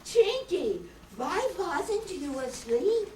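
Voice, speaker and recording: A woman's voice: extremely high pitch, very animated, very slow, heavily acted. A woman playing a cautious, bossy servant girl in a radio play. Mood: scolding. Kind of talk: old-time radio acting. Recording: echoey room, distant mic, noisy.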